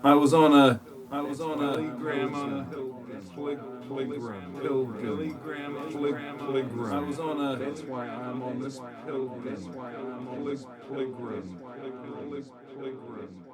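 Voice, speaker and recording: A man's voice: medium pitch, slow pace, long pauses, crowd noise, close to an everyday voice. A man playing a solemn, pretentious desert mystic in an amateur parody audio play, speaking in a stilted, ponderous way. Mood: faltering. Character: solemn, pretentious male desert mystic spouting muddled wisdom.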